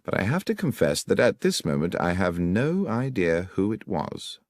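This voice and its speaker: A man voicing a brilliant Victorian detective in an audiobook, with crisp, patient, authoritative speech. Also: deep voice, big pitch swings, normal speed, no room sound, studio quality. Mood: thoughtful. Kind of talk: audiobook character reading.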